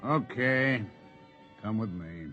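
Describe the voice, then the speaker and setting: An actor playing a big, bullying government agent in an old-time radio drama, curt, tough and commanding. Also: male voice, fairly low pitch, lively intonation, takes it slowly, bright, forceful tone, faint music behind, exaggerated voice. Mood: gruff, commanding.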